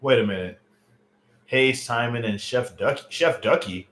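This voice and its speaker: A man's voice: mid-range voice, moderate pace, fairly bright tone. A man in his late thirties talks casually to his livestream chat. He uses lots of fillers and teasing asides, with a mid-range voice. The sound is roomy and echoey. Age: late 30s. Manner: loose, chatty, joking with chat, lots of fillers. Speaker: livestream host. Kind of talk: casual livestream chat reading viewer comments. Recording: roomy echo on a home stream mic.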